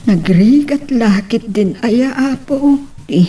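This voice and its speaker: A woman playing a gentle, sickly middle-aged mother who worries over her child in a radio-style drama. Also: fairly high pitch, lively intonation, takes it slowly, slight background noise. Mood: sorrowful. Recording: distant from the mic.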